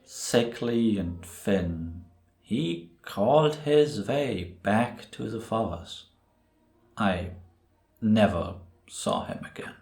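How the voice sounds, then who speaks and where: A man playing a grave, brooding priest who tells a dark tale in a solemn, ominous, deliberate way for a cinematic audio drama. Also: lowish voice, animated, vocal fry, exaggerated voice. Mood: haunted, brooding. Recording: roomy echo on the voice.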